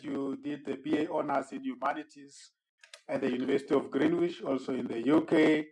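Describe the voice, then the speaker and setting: A man moderating an online coaching podcast, his voice sitting at an ordinary middle pitch. He is courteous and measured, circling back over words before he settles on them. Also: poor audio. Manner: measured, courteous, with frequent word repeats. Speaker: host and moderator. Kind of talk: formal podcast interview.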